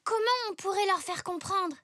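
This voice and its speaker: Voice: extremely high pitch, normal speed, pushed and bright, no echo. A performer voicing an eager, earnest little zebra child in a French cartoon, with an enthusiastic, childlike delivery. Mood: curious, eager.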